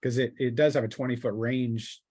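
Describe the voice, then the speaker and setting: A man narrating a tabletop roleplaying game as game master, improvising in a halting way with pauses mid-sentence. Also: mid-range voice, fairly even intonation, dark tone, slightly rough.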